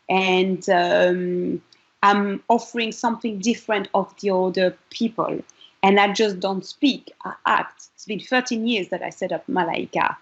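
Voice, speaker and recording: A woman's voice: medium pitch, muffled recording. A woman from Congo, a model and charity founder, speaking earnestly in a casual interview with frequent restarts.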